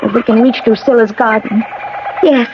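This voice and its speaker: A woman's voice: fairly high pitch, steady tone, moderate pace, lightly acted. An actress playing a frightened, headstrong young woman in a radio mystery drama, breathless, urgent and pleading. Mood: urgent, determined. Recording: dull, noisy old radio broadcast.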